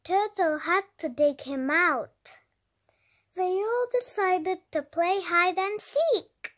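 A young-sounding reader telling a children's story aloud with deliberate, dramatic emphasis. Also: very high-pitched, a bit bright. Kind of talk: expressive read-aloud narration for young children.

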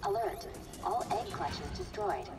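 Distant, unflappable facility alarm AI. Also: female voice, mid-range voice, animated, slow, heavy background noise. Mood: blandly official. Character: polite, unflappable female facility alarm-system AI. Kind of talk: public-address announcement. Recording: distant announcement under loud game music.

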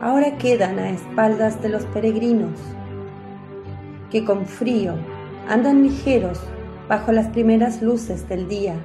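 A woman's voice: a little high, a little slow, music in the background. A woman reading scripted narration for a biblical radio drama.

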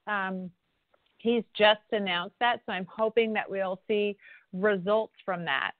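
A woman's voice: mid-range voice, fairly even intonation, measured pace, dry recording. Woman on a tinny phone line. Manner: conversational, with frequent restarts and fillers. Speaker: congresswoman hosting a town hall. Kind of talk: answering constituent questions.

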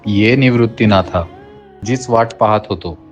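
A man's voice: on the low side, measured pace, close to an everyday voice. Cartoon devotional tale: serene cave-dwelling holy man male actor, slightly muffled sound, faint music behind. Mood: serene, welcoming. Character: radiant, serene holy hermit sage in cave. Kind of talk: animated moral story dialogue.

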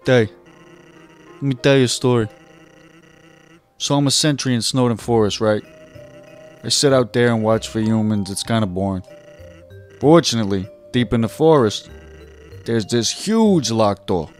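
A man playing a video game on a let's play, reading a character's dialogue aloud in a relaxed, jokey way. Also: medium pitch, moderate pace, long pauses. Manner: laid-back, jokey, reads lines aloud. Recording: faint game music behind.

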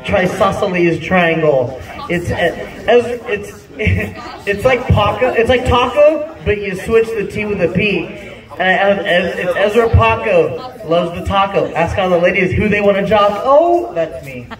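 A man's voice: sits high, expressive, unhurried, a crowd in the background. A man in his thirties doing rambling, self-deprecating stand-up at an open mic, laughing at his own jokes, on a handheld mic. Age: 30s. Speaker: stand-up comic. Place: small bar-like comedy venue indoors. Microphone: handheld mic, phone filming from the audience. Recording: roomy venue sound from a distant phone.

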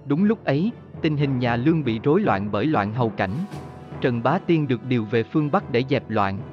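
A man's voice: medium pitch, animated, moderate pace, lo-fi sound. A man narrating history from a script in a formal storytelling manner. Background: background music.

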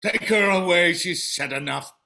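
A man's voice: high-pitched, moderate pace, vocal fry, sharp tone, heavily acted. A middle-aged actor playing a stern, merciless king in a Greek tragedy, reading dramatically on a video call. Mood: cold, commanding. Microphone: computer mic on a video call.

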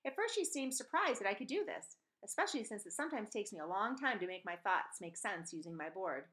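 A woman's voice: medium pitch, expressive, fairly quick, a bit bright. A middle-aged woman reading a children's novel aloud as a storyteller, recorded indoors at home on a webcam microphone. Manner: acts out dialogue in changed voices. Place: small room at home, indoors. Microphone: webcam or laptop microphone at desk distance.

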